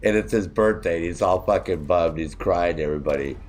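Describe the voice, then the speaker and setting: Male game streamer, extremely deep, soothing voice; loose, swearing chatter over clear in-game gunfire. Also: a little fast, darkish tone, a little hiss or hum. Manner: chatty, profane, griping. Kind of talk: casual live-stream gaming commentary.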